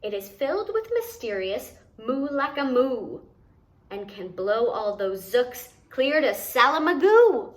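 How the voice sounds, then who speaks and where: A woman's voice: a little high, big pitch swings, slow pace. A woman voicing an upbeat, commanding male chief in a rhyming storybook, reassuring and boastful as she gives instructions. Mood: proud, commanding. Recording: noticeable background noise.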